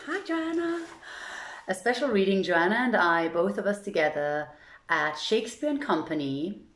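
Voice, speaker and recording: A woman's voice: medium pitch, animated, moderate pace, fairly bright tone. A woman hosting an evening story reading, speaking in a chatty, informal way straight to her viewers, as in a casual livestream introduction. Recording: echoey, roomy sound.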